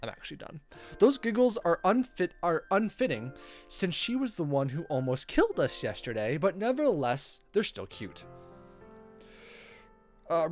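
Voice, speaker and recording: A game streamer reading a visual novel aloud in a playful, theatrical way, stumbling over the text and reacting casually. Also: mid-range voice.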